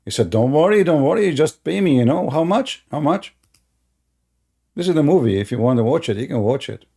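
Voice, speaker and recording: Mellow-voiced man telling a mocking story. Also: a little high, animated, fairly quick, creaky, husky. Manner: mocking, repeats phrases for emphasis. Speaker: online commentator and host. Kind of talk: informal mocking storytelling commentary to viewers.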